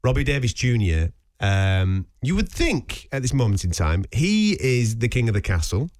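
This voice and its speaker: Radio sports host, brisk and matey, mid-pitched everyday voice. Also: male voice. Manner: energetic, friendly, uses mate.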